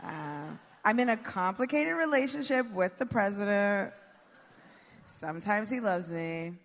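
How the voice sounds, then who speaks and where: Slow-paced woman comedian in an echoey hall. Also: medium pitch, animated. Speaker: comedian panelist. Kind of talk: live comedy panel, reading clues aloud. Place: live stage before an audience. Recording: echoey hall, faint noise.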